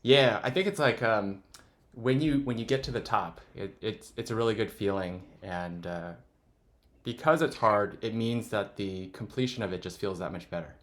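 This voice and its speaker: A man's voice: fairly low pitch, moderate pace. A man hosting a podcast in a casual, conversational style. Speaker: podcast host, student. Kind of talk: casual chat.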